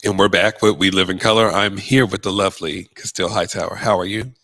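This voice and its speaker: A man's voice: low-pitched, fairly even intonation, fast talker, bright, forceful tone, no room sound, faint music behind. A man hosting an interview, upbeat and affectionate, laughing easily with his guest. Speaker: host.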